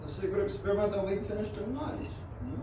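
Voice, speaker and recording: Through heavy echo and clear noise, a young man plays a genteel university professor in a radio drama. He is high-voiced, formal and courteous. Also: fast talker, lightly acted. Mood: courteous, eager. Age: young adult. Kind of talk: amateur radio play acting. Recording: very echoey, distant, noisy.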